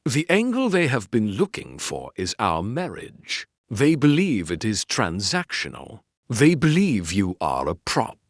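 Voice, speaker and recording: Cold calculating mafia boss, acted by a male narrator, low, slow, precise, clipped, audiobook drama. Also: wildly swooping pitch, dry recording, clean studio sound, full character voice. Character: cold, calculating Korean crime boss in his thirties. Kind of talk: audiobook character dialogue.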